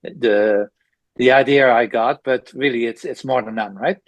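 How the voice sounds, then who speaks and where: Male host, friendly and a bit halting, mid pitch, dry close recording. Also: no room sound. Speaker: podcast host. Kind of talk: podcast hosting, introductions.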